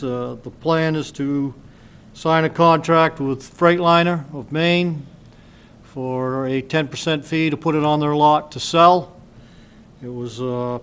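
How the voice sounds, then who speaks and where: A man chairing a town council meeting, reading agenda items briskly into a desk microphone in a council chamber. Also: a little high, clean tone.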